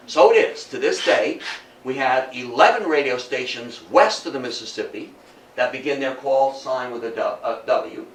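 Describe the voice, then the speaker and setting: A man's voice: mid-range voice, fairly bright tone. A man in his seventies giving a public history lecture in an emphatic storytelling manner, with rhetorical questions. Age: 70s. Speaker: guest lecturer on radio history. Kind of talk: public history talk to a live audience. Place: indoor room at a public talk. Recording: echoey room, distant mic, faint noise.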